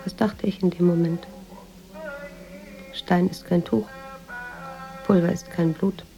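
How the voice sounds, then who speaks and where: A woman's voice: lowish voice, steady tone, slow, gravelly, warm tone, dull audio, soft background music. An actress playing an anxious, introspective woman writer in a German radio drama, speaking intimately and often near a whisper. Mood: haunted, introspective. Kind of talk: radio drama monologue and dialogue.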